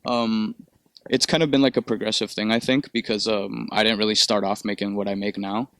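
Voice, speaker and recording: Chill young dude from peru, low voice, dry close sound, rambles with lots of ums and cussing. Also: male voice, level tone, fairly quick, a bit bright, no room sound. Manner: laid-back, rambling with many fillers and profanity. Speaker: musician guest. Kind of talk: casual interview.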